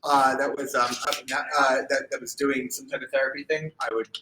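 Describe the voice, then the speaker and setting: A man's voice: mid-range voice, level tone, a little fast, sharp tone, roomy sound, a little hiss or hum, low-quality recording. A man hosting a live podcast, rambling and often restarting his sentences. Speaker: podcast host.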